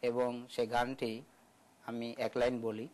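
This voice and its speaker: A man speaking as a film director, giving measured promotional answers in an interview. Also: medium pitch, monotone, a little mellow, poor audio.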